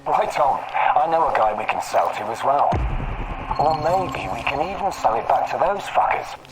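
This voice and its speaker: A man's voice: a little high, animated, moderate pace, very noisy, natural voice. An actor playing a cynical, easygoing male thug in a video game, casual and scornful. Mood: scornful, relaxed. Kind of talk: video game character acting.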